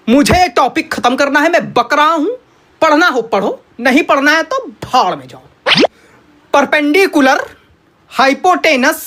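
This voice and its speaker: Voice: sits high, noisy background. A performer voicing an irritable male maths teacher in a comic cartoon, grumbling sarcastically in colloquial speech. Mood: pleading, fed up. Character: exasperated male maths tutor teaching an online class.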